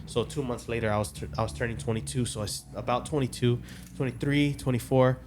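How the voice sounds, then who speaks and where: A man in his late twenties tells a long personal story in a loose, rambling way, stopping and restarting as he tries to get his dates straight. His voice sits at an ordinary middle pitch, and a faint noise hangs in the background of the recording. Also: fairly even intonation, fast talker, darkish tone. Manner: rambling, loses track of dates, many restarts and fillers. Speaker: podcast guest telling his testimony. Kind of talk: casual podcast storytelling.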